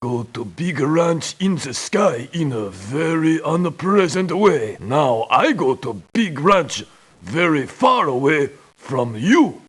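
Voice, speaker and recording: Real slow husky cowboy merc for a game, folksy n cocky, broken english. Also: male voice, fairly high pitch, very animated, heavily acted. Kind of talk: video game character voice lines.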